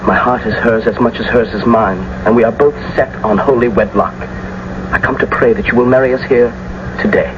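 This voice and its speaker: A man's voice: medium pitch, fairly even intonation, a little fast, lightly acted. An actor playing an impetuous young nobleman in love, speaking with poetic fervor in a classical radio drama. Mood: eager. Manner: poetic, fervent, theatrical delivery. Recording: muffled, noisy old radio broadcast recording.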